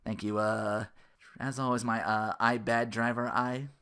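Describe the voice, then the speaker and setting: A man's voice: mid-range voice, a little slow, clear, resonant tone. A man hosting a podcast, giving casual, rambling gaming commentary with little acted-out asides. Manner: rambling, animated asides, acting out little quotes. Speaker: podcast host.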